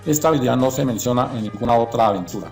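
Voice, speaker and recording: A man's voice: mid-range voice, very fast, vocal fry, darkish tone, faint music behind. A man reading scripted documentary narration.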